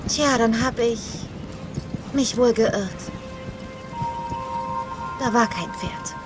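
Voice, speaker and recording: A cheerful young cartoon heroine who loves horses. Her voice is high, eager and polite, full of curiosity. Music is clearly heard behind her. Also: very slow. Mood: puzzled. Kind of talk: audio play cartoon dubbing. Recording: music under the voice.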